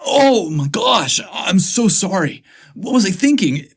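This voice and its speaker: Man voicing gushing, flustered young male assistant, higher-pitched. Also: very animated, measured pace, gravelly, breathy edge, heavily acted. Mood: flustered, apologetic. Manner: gushing, blurting, exclamatory.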